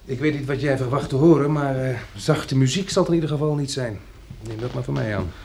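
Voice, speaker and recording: An actor playing a practical, resolute man planning survival after a catastrophe in a Dutch radio drama, hesitating as he thinks aloud, with a husky, gravelly voice. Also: mid-range voice, fast, darkish tone, a little hiss or hum, close to an everyday voice. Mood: sober, insistent.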